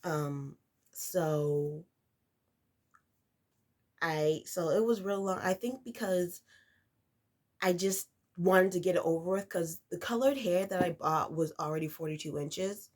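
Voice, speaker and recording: Slow-talking stuffy-nosed woman vlogger. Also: fairly low pitch, a little mellow. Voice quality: nasal, congested. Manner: rambling, with many fillers and restarts. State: stuffy nose from a cold. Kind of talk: casual talk to camera.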